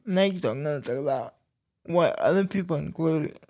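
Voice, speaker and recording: A young man hosting his own podcast, talking slowly and deliberately about everyday life, with pauses and restarts. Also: a little high, lively intonation, a little mellow. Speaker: podcast host. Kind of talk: casual personal talk.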